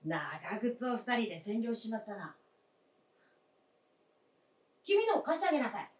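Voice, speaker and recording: A man playing a talkative grown son and husband in a staged radio drama, familiar and gently bossy. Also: very high voice, wildly swooping pitch, normal speed, exaggerated voice. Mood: eager, instructing. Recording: echoey stage, distant microphone.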